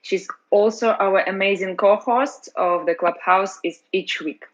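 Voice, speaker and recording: A woman host with a mid-range voice reads out the guest introductions in a measured, scripted way, stumbling now and then on an uh. The audio is dull and lo-fi, as over an online call. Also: mid-range voice. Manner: scripted and measured, with hesitant uhs. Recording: dull lo-fi audio chat line.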